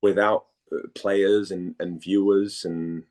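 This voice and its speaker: A man from Denmark speaking casually as an interviewed actor, halting and repeating phrases as he searches for words. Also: low-pitched, little pitch movement, darkish tone.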